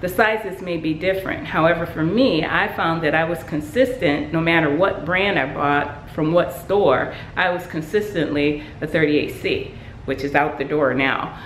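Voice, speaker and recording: A woman's voice: deep voice, moderate pace, reverberant, slight background noise, rough recording. A woman sewing vlogger casually showing her handmade work, chatty with many ums and restarts. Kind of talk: casual show-and-tell.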